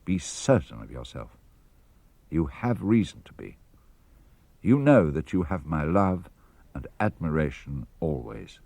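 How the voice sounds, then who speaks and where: A man reading personal letters aloud for a radio broadcast, in a measured, earnest, fatherly manner. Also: rumbling low, big pitch swings, darkish tone, no room sound. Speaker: reader of letters. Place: radio studio.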